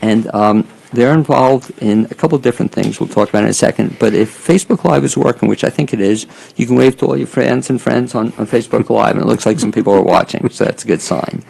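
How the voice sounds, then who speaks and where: Gravelly guy hosting a local talk show, chatty, kinda joking around, medium pitch. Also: quick pace, a little mellow, rough recording. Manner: chatty, joking, stumbles over names. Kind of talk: casual interview chat.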